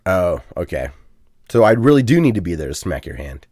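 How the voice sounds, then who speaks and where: A man co-hosts a podcast in loose, casual chat. His pitch swings in a lively way as he rambles, filling gaps with ums and uhs. The sound is dry and close. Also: fairly quick, dry recording. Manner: self-deprecating, chatty. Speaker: podcast co-host.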